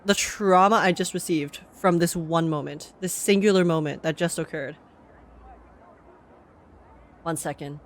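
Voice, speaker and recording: A woman's voice: fairly low pitch, measured pace, pauses often. A young woman live-streaming a game with casual, slangy reactions, expressively repeating phrases for effect.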